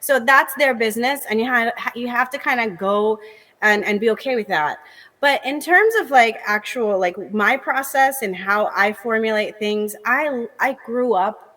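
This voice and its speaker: An American woman comedian, a guest on a talk show, chatting in a lively, candid way with quick asides. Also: on the high side, big-room sound. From United States. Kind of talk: casual interview chat.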